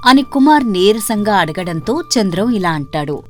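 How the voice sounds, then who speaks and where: A woman reading a scripted moral story as narrator, in an expressive storytelling delivery. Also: medium pitch, moderate pace. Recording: music behind the voice. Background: background music.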